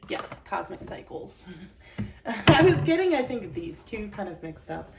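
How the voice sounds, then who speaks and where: A woman's voice: mid-range voice, big pitch swings, a little slow, vocal fry, crowd noise. A young woman with a slight cold giving a casual, chatty tarot reading to camera, laughing at herself now and then. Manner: chatty, self-deprecating, laughs often. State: slightly sick with an itchy throat and chest cough. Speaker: tarot reader. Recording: roomy echo, mic at a distance, noisy.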